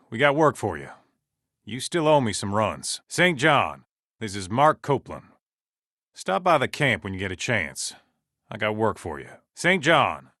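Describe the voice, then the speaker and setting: A man's voice: mid-range voice, pushed and bright, no room sound, clean studio sound, heavily acted. A man acting a folksy, commanding survivor camp leader in a video game, speaking plainly and naturalistically. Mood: businesslike, calling out.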